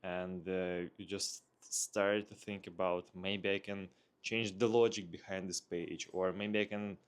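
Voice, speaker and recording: Man, Russian accent, lowish voice, very unhurried pace, casual vlog talk, hesitant, thinking aloud. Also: level tone, clear, resonant tone. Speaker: vlogger.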